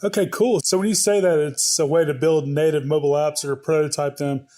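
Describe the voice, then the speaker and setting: A man's voice: on the high side, steady tone, brisk, dark tone. A man hosting a podcast in a conversational style, asking questions in an easygoing, informal way. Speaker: podcast host, interviewer.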